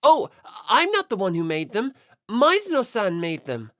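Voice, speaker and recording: A woman's voice: mid-range voice, wildly swooping pitch, unhurried, no echo, clean studio sound, pitched above the performer's own voice. A woman voicing an earnest, friendly, timid teenage schoolboy in an audiobook, eager and a little nervous. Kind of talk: audiobook character voice.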